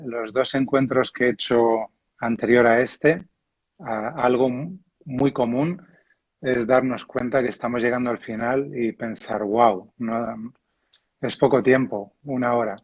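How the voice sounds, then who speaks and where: Male host for a video call chat: husky, relaxed, slow and friendly. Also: mid-range voice. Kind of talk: conversational online interview.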